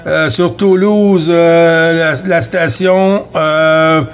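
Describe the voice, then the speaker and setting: A man's voice: on the high side, steady tone, very slow. A man with a Quebec French accent chatting casually as a CB radio operator, making contacts over the air. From Quebec, Canada. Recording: noisy radio static, clicks.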